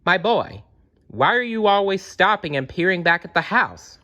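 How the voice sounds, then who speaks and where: A man's voice: high-pitched, very animated, normal speed, pushed and bright, muffled recording, pitched above the performer's own voice, full character voice. A man voicing a questioning, insistent father in a storybook read-aloud. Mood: puzzled, insistent. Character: father questioning his dawdling young son.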